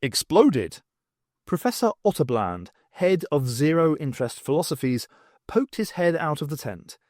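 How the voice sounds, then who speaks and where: Expressive male audiobook narrator, lively pitch. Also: no echo, clean studio sound. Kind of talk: expressive scripted fiction narration.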